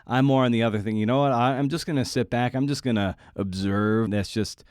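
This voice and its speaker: A man's voice: medium pitch, fairly even intonation, brisk, a little mellow, smooth, no room sound, studio quality. A man speaking casually and conversationally as a podcast host and interviewer.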